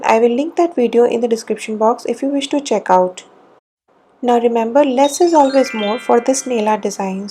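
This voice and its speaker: A woman hosting a nail art channel, explaining each step in a clear, steady voice-over. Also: a little high. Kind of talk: voice-over tutorial narration. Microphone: voice-over mic, not on camera.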